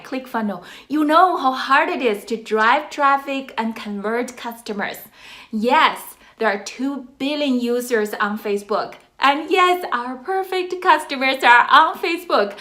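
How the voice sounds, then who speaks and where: A woman's voice: fairly high pitch, bright, forceful tone. Upbeat woman with a Chinese accent. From China. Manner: enthusiastic, emphatic, salesy. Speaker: online course reviewer. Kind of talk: casual product review to camera.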